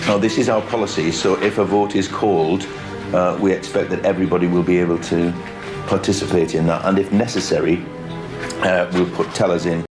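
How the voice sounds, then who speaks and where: A man's voice: sits low, fairly even intonation, a little fast. A man briefing others on policy. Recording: roomy echo with music over it.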